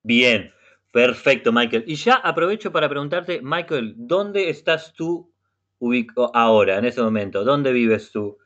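A man's voice: mid-range voice, lively intonation, a bit bright. Patient Uruguayan man leading a class. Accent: Uruguayan Spanish. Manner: slow, patient, repeats words for learners. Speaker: language class facilitator. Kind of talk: online Spanish conversation class. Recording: muffled web meeting audio.

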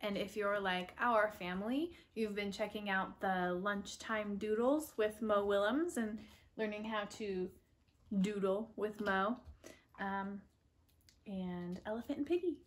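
A woman in her thirties reads a picture book aloud for children. She speaks softly and without hurry, turning to her listeners with questions and little asides. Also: mid-range voice, unhurried. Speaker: read-aloud storyteller for children. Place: living room, indoors. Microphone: camera a few feet away.

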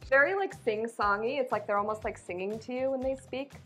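A chatty woman gives her thoughts in a casual studio talk, a bit unsure of herself and quick to giggle. Her voice is fairly high, with faint music underneath. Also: bright, forceful tone. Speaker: interview participant. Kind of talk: casual group chat.

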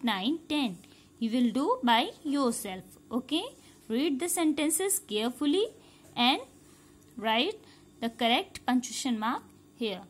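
A woman teacher with an Indian English accent, explaining a grammar lesson to young pupils and repeating each sentence patiently. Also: high voice. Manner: slow, repetitive, patient explaining, checking understanding. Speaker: schoolteacher. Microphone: phone camera held over a workbook.

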